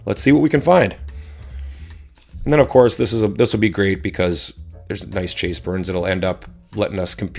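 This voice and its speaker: A man with a slightly hoarse voice casually chatting as he opens card packs on his channel, relaxed and rambling with frequent ums. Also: deep voice, normal speed, soft background music. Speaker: host of a card-opening channel. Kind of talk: casual chat while opening card packs.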